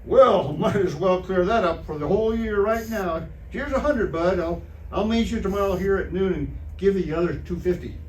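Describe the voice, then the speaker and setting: A man playing a gullible, excitable, wisecracking husband in an old-time radio comedy, with a folksy, stammering, exclamatory delivery. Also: high-pitched, normal speed, lightly acted. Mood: excited. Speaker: amateur theater troupe actor. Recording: roomy, distant stage recording with faint noise.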